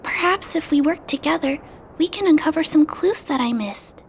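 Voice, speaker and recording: A woman voicing a gentle, polite little girl in a video game, earnest and careful as she plays detective. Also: sits high, lively intonation, normal speed, slight background noise.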